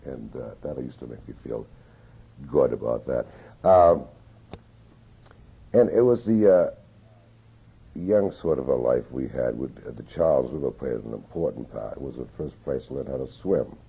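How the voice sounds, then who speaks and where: An older man recalling his childhood in an oral history interview, speaking slowly and reflectively with many hesitations, on an old tape recording. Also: very deep voice, lively intonation, vocal fry, husky. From Newton, Massachusetts. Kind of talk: reminiscing in an interview. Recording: dull lo-fi 1981 tape.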